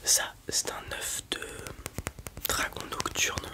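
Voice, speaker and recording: Brief: whispered ASMR roleplay voice, any sex, breathy and soft, hesitant explainer playing a dragon breeder. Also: takes it slowly.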